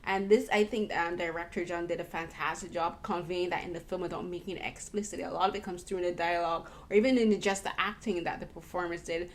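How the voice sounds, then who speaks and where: A woman's voice: fairly low pitch, fairly even intonation, quick pace, hardly any pauses. Earnest woman hosting an interview. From Barbados. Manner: earnest, thoughtful, restarts often mid-sentence. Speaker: podcast host and interviewer. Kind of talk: casual conversational film interview.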